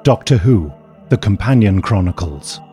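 A man's voice: on the low side, expressive, normal speed, mellow, faint music behind. A man reading a scripted trailer voice-over for an audio drama. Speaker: trailer announcer.

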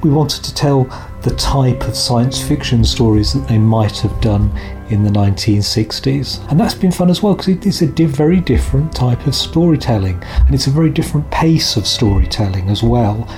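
A man's voice: medium pitch, animated, moderate pace, a little mellow, faint music behind. A man speaking as an audio drama producer in a behind-the-scenes interview, explaining things reflectively.

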